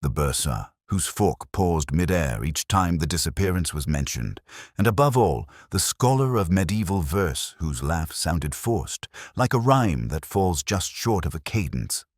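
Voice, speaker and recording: A man narrating a literary detective story in the first person, in a measured, solemn and reflective manner. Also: sits low, big pitch swings, no echo, clean studio sound.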